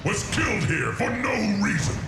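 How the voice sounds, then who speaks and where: A man's voice: sits high, big pitch swings, normal speed, vocal fry, full character voice. A man voicing a proud, vengeful dragon in a fantasy game, theatrical and menacing. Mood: menacing anticipation. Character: vengeful proud male dragon, friend of a slain dragon. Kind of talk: dramatic fantasy game acting. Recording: echoing voice over loud music.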